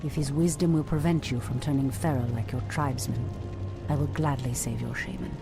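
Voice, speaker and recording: An actress playing a proud, resolute female elf high priestess in a game cutscene. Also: a little fast, gravelly. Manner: calm, resolute. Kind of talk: video game cutscene acting. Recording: game audio with music underneath.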